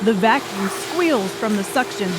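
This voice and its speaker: A woman reading scripted narration for a dark thriller audiobook. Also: on the high side, lively intonation, unhurried, heavy background noise.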